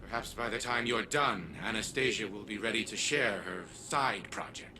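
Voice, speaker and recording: Deep, composed male strategist. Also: normal speed, pushed and bright, a little hiss or hum. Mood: composed, instructive. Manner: formal, technical, precise. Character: composed, formal male strategist giving orders. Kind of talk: video game voice acting.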